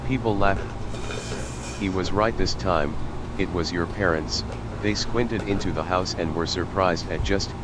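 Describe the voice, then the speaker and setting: A man's voice: low-pitched, a little slow, very noisy. A man narrating a story from a script, reading out names, dialogue and description. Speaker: narrator reading visual novel text aloud. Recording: loud music behind the voice. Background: loud music.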